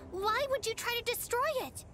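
Very high, spirited anime girl. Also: moderate pace. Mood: earnest, puzzled. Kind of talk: anime game voice acting.

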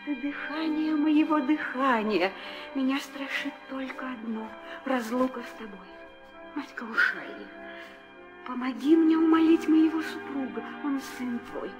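Steadfast young wife: high, unhurried, tender and pleading, declaimed vintage radio-drama style over music. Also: female voice. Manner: solemn, imploring, declamatory. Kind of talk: 1960 theatrical audio drama acting.

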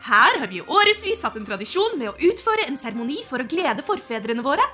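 En kvinne som spiller en pliktoppfyllende voksen hekselærer i en animert tegneseriedubbing. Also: female voice, sits high, very animated, pushed and bright, exaggerated voice. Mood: explanatory. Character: dutiful adult witch teacher. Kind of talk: animated cartoon fandub. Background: music.

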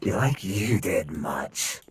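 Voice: sits low, sing-song, drawn-out words, a little hiss or hum, heavily acted. A performer voicing a sly, menacing animatronic villain in a game roleplay, smug and goading.

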